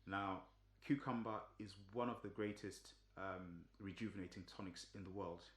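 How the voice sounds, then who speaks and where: A man from Zimbabwe with a low voice talks through a topic in a relaxed, chatty way. He often stops on "um" and repeats himself as he goes. The room gives his voice a clear echo. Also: moderate pace. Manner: relaxed, many ums and restarts. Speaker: vlogger. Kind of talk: casual explanatory talk. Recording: echoey room.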